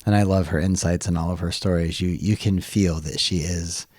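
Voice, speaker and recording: A man with a low, very mellow voice that has a husky grain, recorded close and dry. He talks reflectively and earnestly, as a podcast co-host in conversation. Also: fairly even intonation, moderate pace, no room sound. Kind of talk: conversational book discussion.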